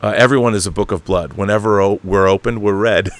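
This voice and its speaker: A man's voice: fairly low pitch, fast. A middle-aged American man hosting a casual livestream talk, opinionated and emphatic, swearing freely and wandering off on tangents. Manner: emphatic, profane, digressive. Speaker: host of a film and book talk livestream. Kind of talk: casual opinionated chat, answering viewer questions.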